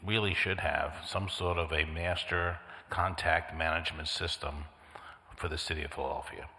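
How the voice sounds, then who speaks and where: A councilman speaking at a public hearing, direct and brisk. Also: a bass voice, steady tone, pushed and bright. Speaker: city councilman. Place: city council hearing room. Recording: echoey council chamber with long reverb.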